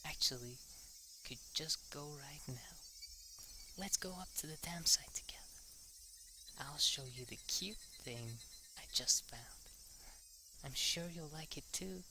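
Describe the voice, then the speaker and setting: A man voicing a sweetly menacing teenage girl in a soft, breathy whisper. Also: fairly high pitch, unhurried, noisy background. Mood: eerie sweet.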